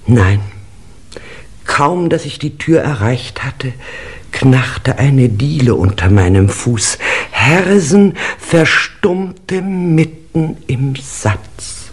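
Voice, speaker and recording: An actor playing an anxious gentleman recounting a fearful night in a 1950s radio mystery, with dramatic, deliberate storytelling. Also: mid-range voice, very animated, slightly rough, exaggerated voice. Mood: tense, confiding.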